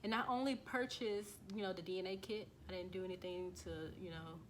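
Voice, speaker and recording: A woman's voice: medium pitch, fairly quick, a little hiss or hum. An American woman vlogging casually as she reads through results on a screen, talking loosely with lots of fillers and restarts. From United States. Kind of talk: casual talk to camera while reading results off a screen.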